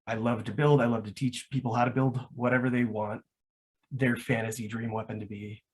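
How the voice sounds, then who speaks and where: A man in his thirties talks casually as a panel guest. His voice sits at a medium pitch with a husky, rough edge. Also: level tone, a little fast. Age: 30s. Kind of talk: casual self-introduction.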